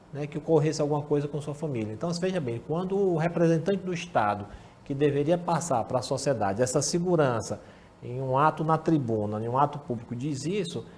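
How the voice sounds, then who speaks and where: Emphatic man with a dark voice. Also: a little high, slight background noise. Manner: emphatic, repeats words while building arguments. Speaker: political commentator, professor. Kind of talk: political talk show commentary.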